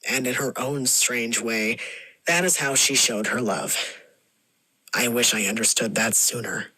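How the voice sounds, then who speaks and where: A man plays a reflective male storyteller recalling his past in a scripted monologue. His voice is bright and forward, the delivery measured and wistful. The recording is dry, with no room sound. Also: medium pitch, breathy edge, no echo, heavily acted, poor audio. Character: reflective grown storyteller recalling a lonely childhood. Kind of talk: scripted first-person narrative monologue.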